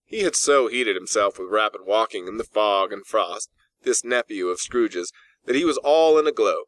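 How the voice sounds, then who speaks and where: A man reading a classic story as an audiobook narrator, in a measured, expressive storytelling manner. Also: lowish voice, dry recording. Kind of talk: scripted literary narration. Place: dry in-house recording space.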